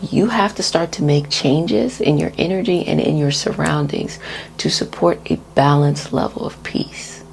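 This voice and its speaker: A woman with a soft, breathy voice that often drops to a whisper gives a soothing, gently reassuring ASMR energy healing talk. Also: low voice, a little slow, a little hiss or hum, low-quality recording. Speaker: energy healer and host of healing sessions.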